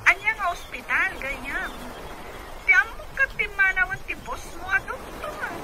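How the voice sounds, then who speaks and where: A woman's voice: very high-pitched, sing-song, unhurried, a crowd in the background, exaggerated voice. A woman playing an eager, nosy female friend in an Ilocano radio comedy-drama. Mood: chatty, surprised. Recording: noisy radio drama mix.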